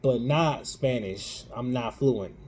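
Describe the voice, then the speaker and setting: A man co-hosting a casual chat, animated and playful, dropping slang as he hypes up his co-host. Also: mid-range voice, slow, slight background noise. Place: living in Europe.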